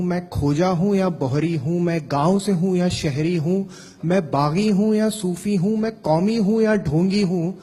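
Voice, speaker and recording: A male poet recites verse in a rhythmic, measured cadence. His voice is slightly raised and husky. It trails off in the long reverb of a hall. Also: sits high, darkish tone. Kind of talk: poetry recitation.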